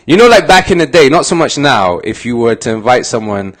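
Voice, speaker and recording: A man's voice: medium pitch, sing-song, fairly quick. A man in his thirties talking casually as a podcast guest, informal and full of conversational tags like "you know what I mean". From Glasgow and Oxford, UK. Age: 30s. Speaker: interview guest, musician and doctor.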